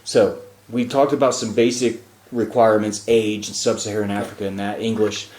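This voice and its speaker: A man's voice: fairly low pitch, little pitch movement, moderate pace, a little mellow, roomy sound, slight background noise. A man hosting a casual talk show, explaining things in a chatty way with frequent fillers. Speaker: radio show host and diplomat.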